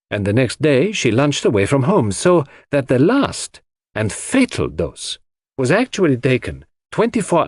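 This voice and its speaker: A man voicing a self-assured detective who presents his deductions with impressive, deliberate flourish in an audiobook. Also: mid-range voice, dry recording, studio quality, voice pushed up. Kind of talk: audiobook character dialogue.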